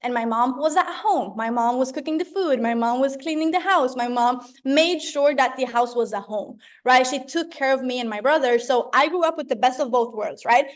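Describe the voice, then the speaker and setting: A young woman. She leads an online training like a mentor among friends, chatty and animated, slipping into slang and often checking in with her listeners. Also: high voice, normal speed, few pauses. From Sweden. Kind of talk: informal motivational talk to a group on a video call. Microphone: video call.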